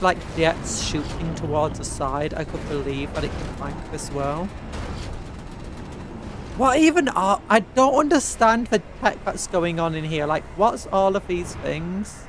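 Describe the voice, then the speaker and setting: A British man streaming a video game with casual, playful commentary, full of childlike exclamations and sing-song filler noises. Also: high voice. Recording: noisy, game vehicle sounds behind. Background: video game vehicle noise.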